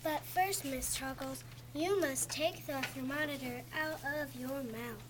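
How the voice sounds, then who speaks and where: A young reader playing a brisk, bossy but helpful female school nurse in a readers theatre, reading slowly. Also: high voice. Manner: slow child's reading aloud. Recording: noisy school room recording.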